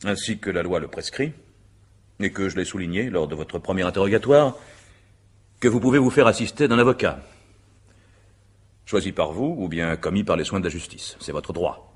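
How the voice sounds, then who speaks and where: A probing judge in a radio mystery, really deep voice, bit rough, wordy and very proper. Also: male voice. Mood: formal, probing. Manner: formal, measured, wordy, legalistic. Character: courteous, methodical, probing male examining magistrate. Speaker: actor in a radio mystery play. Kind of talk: French radio mystery drama acting.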